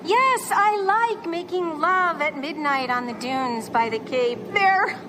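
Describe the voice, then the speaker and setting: A woman playing a hurried, exasperated traveler in a comedy sketch, reluctantly cooperating with hesitant replies. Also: sits high, expressive. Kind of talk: comedy sketch acting before a live audience. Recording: noisy live stage recording.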